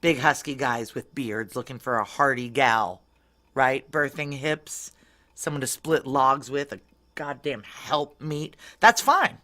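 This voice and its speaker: A woman's voice: extremely low pitch, measured pace, sharp tone, full character voice. Tough woman veteran, sardonic, blunt, profane, self-mocking, intimate noir audio drama delivery. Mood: wry, sardonic. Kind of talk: audio drama acting with intimate first-person narration.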